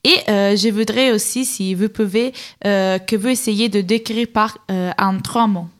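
A young woman from Turin with an Italian accent hosts a radio show as a volunteer, speaking French as a second language in a slightly halting way. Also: medium pitch, fairly even intonation. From Turin, Italy. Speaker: radio host, European volunteer.

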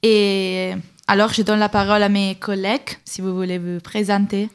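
A young woman from Turin with an Italian accent hosts a radio show as a volunteer, speaking French as a second language in a slightly halting way. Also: medium pitch, flat delivery, clear, resonant tone. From Turin, Italy. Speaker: radio host, European volunteer.

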